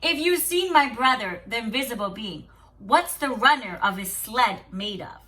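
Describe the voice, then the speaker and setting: A woman storyteller voicing a wise, gentle sister in a folktale, with a probing manner full of wonder. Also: a little high, lively intonation, moderate pace, distant mic, rough recording. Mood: excited.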